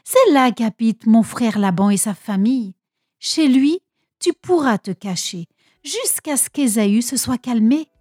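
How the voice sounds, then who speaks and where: A woman's voice: a little high, animated, unhurried, dark tone, no echo, clean studio sound. A woman voicing an anxious, protective mother speaking urgently in a storybook tale. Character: anxious protective mother Rebecca urging her son.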